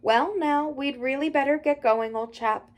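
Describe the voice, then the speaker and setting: A hearty gentleman rat as a woman storyteller plays him. The voice is high, with a chummy, old-fashioned manner. He comes across as a kindly, loyal friend from a storybook. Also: very animated, moderate pace. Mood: hearty, brisk. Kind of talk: storybook character acting.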